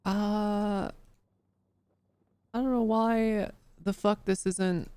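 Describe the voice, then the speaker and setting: A woman's voice: mid-range voice, flat delivery, drawn-out words, mellow, clean tone, dry recording. A tired woman live-streaming while coding, who swears freely and mutters to herself as she works, her voice worn down. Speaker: livestreamer.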